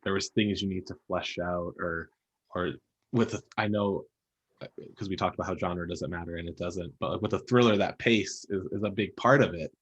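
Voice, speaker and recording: Low-voiced man hosting a casual interview. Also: moderate pace, slightly rough. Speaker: interview host. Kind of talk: casual panel interview of authors.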